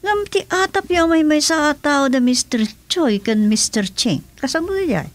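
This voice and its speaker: An obedient aide in a radio drama, high-pitched and lively in intonation. She speaks with a subordinate's deference. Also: moderate pace, dry recording. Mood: deferential.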